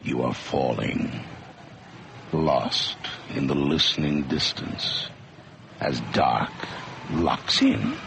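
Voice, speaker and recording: A man intoning an ominous old-time radio drama introduction in a slow, brooding way. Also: a bass voice, creaky, noisy background. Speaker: radio series announcer.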